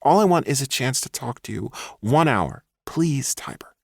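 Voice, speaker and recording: A man's voice: medium pitch, expressive, moderate pace, vocal fry, dry recording, studio quality, pitched above the performer's own voice. A man doing a whiny, pleading ex-boyfriend. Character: pleading, clingy young male ex-boyfriend.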